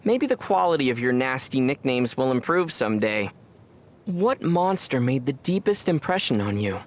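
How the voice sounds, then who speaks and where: A man playing a calm, thoughtful young adventurer in a video game, speaking in a reflective, gently teasing manner. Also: mid-range voice, normal speed, lightly acted. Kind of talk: video game character voice acting.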